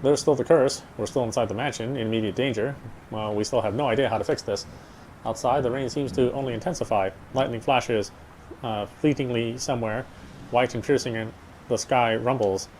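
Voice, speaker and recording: A man's voice: mid-range voice, fairly even intonation, a little fast, a little hiss or hum. A man doing a dramatic read of visual novel narration, stumbling over the odd word. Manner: stumbles over words while reading. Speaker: let's player reading a game aloud. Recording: music bed behind the voice. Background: loud game music.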